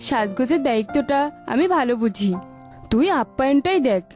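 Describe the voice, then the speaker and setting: A woman acting an earnest, dutiful woman of a family household in an animated moral story, explaining herself. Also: fairly high pitch, a little fast, close to an everyday voice. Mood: firm. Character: dutiful Bengali sisters-in-law and mother, women of a household. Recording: music behind the voice.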